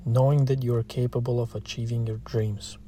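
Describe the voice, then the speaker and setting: A man guides a meditation in a relaxed, mellow voice at a middle pitch. His delivery is soothing and steady, with barely any rise or fall. Short phrases are set apart by long, unhurried pauses. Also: a little hiss or hum. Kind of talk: slow guided meditation, scripted narration.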